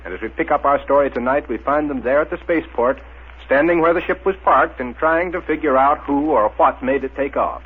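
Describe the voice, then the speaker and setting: A man's voice: on the high side, brisk, husky. A man announcing in emphatic, showmanlike 1930s radio style, reading scripted narration and sponsor copy. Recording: thin, phone-like old radio recording.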